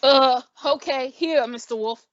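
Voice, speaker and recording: A young woman voicing a nervous, shifty male crook in an amateur radio play, hurried and wheedling. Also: high-pitched, no room sound. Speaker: high school drama student. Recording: dry muffled recording.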